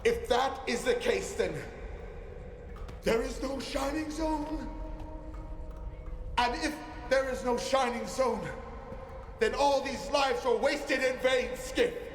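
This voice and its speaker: High-voiced, slow, fanatical mourning zealot. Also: male voice, soft background music. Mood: anguished denial. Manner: philosophical, declamatory. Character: fanatical, grief-maddened male stalker cult leader. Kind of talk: theatrical dramatic monologue in a video game. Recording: echoey space, loud ambient noise.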